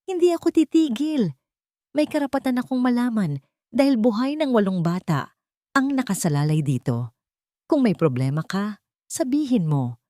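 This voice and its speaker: A woman's voice: on the high side, big pitch swings, moderate pace, pauses often, warm tone, no room sound, studio quality, pitched above the performer's own voice. A woman storyteller voicing an indignant woman who confronts her partner with pressing questions in an audio drama. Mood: indignant, hurt.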